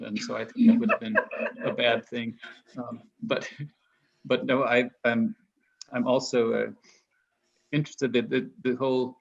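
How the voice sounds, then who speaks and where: A man's voice: mid-range voice, lo-fi sound. A man from Texas, a literary translator, talking casually and hesitantly with many restarts. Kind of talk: casual panel conversation.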